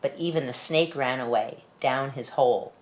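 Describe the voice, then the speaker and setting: A woman reading a children's picture book aloud in an expressive storytelling manner. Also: a bass voice, normal speed, low-quality recording. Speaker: storybook reader.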